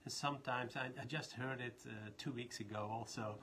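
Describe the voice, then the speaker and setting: A man's voice: medium pitch, steady tone, normal speed, fairly bright tone, slight background noise. A man from the Netherlands with a Dutch accent, a leadership trainer talking in a loose, rambling way with many restarts. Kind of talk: casual live talk.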